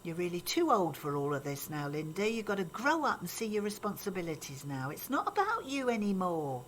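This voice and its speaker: A disapproving grandmother in an audio drama, older and set in her ways. Her chiding, gossipy talk swings widely in pitch, over a faint noisy background. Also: very animated, a little fast, a bit bright. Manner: chiding, matter-of-fact, gossipy. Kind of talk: naturalistic radio drama acting.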